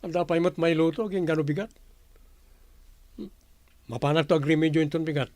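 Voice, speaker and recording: A man's voice: fairly high pitch, fairly even intonation, fairly quick, darkish tone, dry recording, natural voice. A man with a Filipino accent playing an earnest young suitor and humble husband in a radio drama. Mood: casual, concerned. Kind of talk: Ilocano radio drama acting.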